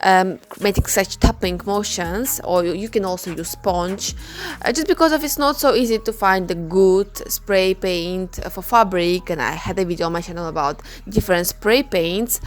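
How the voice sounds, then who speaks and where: A woman from Ukraine, a clothing customization creator, speaking slowly in a halting and emotional way in a personal vlog voice-over. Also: medium pitch, soft background music.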